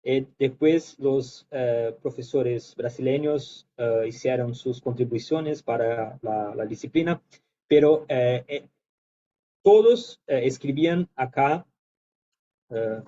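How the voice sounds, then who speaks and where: Man, Brazilian Portuguese accent, academic talk, hesitant with restarts, warm low timbre, dull lo-fi sound. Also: takes it slowly. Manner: hesitant, many fillers and restarts. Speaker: guest lecturer. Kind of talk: academic presentation.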